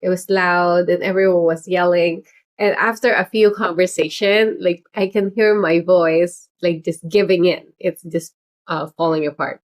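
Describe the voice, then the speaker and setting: Chatty rambling woman, lower pitched. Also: level tone, measured pace. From Philippines heritage. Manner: chatty, rambling, frequent fillers and laughs. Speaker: political candidate hosting a livestream. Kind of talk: casual livestream chat.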